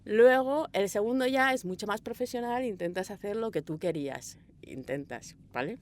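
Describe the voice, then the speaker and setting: A woman with an accent from Spain, an audio fiction director telling rambling, animated stories in a casual interview. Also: mid-range voice, moderate pace, a little hiss or hum. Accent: Spanish (Spain). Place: small recording booth.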